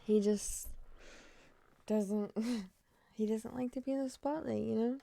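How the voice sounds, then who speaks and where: A woman in her thirties with a fairly high voice, talking in an unhurried, rambling way. She chats casually to her stream between asides. At times she softens to a gentle murmur. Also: steady tone, vocal fry. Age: 30s. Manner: rambling and chatty, with frequent laughs and asides; drops to a soft murmur when talking to her pet. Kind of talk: casual stream chat reacting to a true crime case.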